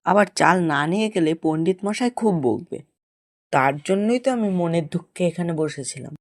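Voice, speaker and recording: A cheeky little schoolboy from a cartoon , put on by an adult actor. He is fearless and impudent, talking in plaintive exclamations. The voice is mid-pitched and recorded dry. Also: animated, moderate pace, dry recording, natural voice. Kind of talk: Bengali cartoon voice acting.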